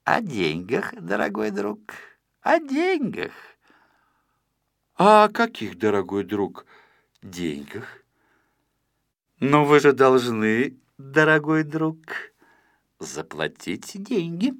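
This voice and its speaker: A man's voice: a little high, wildly swooping pitch, takes it slowly, gravelly, long pauses, a bit bright, dry recording, studio quality, heavily acted. A man voicing an oily, insistent waiter in an audio storybook, falsely polite with spite underneath. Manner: sugary, insistent.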